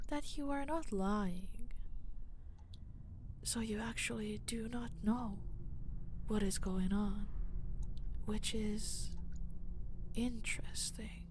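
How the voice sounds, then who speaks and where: A woman playing a menacing, dominant mafia boss in an audio roleplay, speaking slowly and breathily in a condescending, teasing way. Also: mid-range voice, noisy background, close to an everyday voice. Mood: calm menace. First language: Finnish. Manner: slow, deliberate, condescending, breathy near-whisper. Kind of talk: intimate dramatic audio roleplay.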